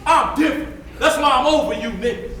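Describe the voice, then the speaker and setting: A man's voice: very high-pitched, fairly quick, sharp tone. A man playing a harsh, superior enslaved overseer in a stage play, bullying and commanding in Southern plantation dialect. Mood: threatening. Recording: echoey stage from far off, faint noise.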